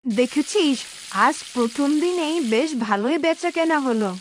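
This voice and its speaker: A woman's voice: sits high, animated, normal speed, slight background noise. A woman voicing a firm older sister. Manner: firm, decisive. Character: resolute older sister, hotel cook.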